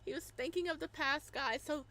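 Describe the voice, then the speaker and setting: A young woman streamer reading a visual novel aloud, breaking off into loud, animated, excitable reactions. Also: sits high, moderate pace.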